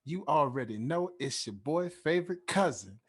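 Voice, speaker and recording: A man hosting a casual podcast, talking in a smooth, laid-back radio-style way and often adding "you know what I'm saying.". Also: fairly high pitch, animated, normal speed. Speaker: podcast host. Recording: music playing underneath.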